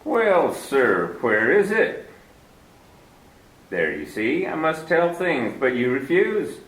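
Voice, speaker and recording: An actor playing a genial, wordy middle-aged crook who talks formally and flatters, in 1940s radio style. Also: male voice, mid-range voice, takes it slowly. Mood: smooth, scheming. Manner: florid, flattering, overly formal with many sirs. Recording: roomy echo.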